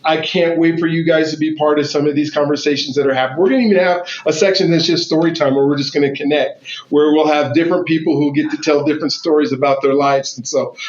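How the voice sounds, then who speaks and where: A man hosting an interview, enthusiastic and repeating himself as he talks. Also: a little high. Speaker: podcast host. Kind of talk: casual interview chat. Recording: roomy lo-fi sound with faint noise.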